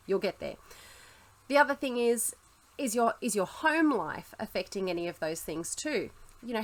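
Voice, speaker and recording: A woman talking to camera in a casual, reassuring advice vlog for teachers, chatty with the odd laugh. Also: mid-range voice. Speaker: teacher vlogger.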